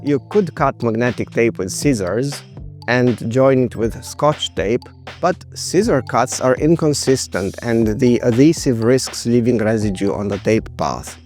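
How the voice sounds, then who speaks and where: Male presenter, 30s-40s, mid-pitched, scripted explainer read, measured and precise, music behind. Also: darkish tone. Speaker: video presenter.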